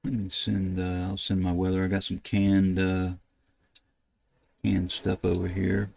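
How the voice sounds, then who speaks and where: An older American man narrating a casual screen-share tutorial, thinking aloud in a halting way as he works at his computer. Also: deep voice, completely flat delivery, gravelly, a little mellow, no room sound. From United States. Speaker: ham radio video host. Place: indoors at a computer desk.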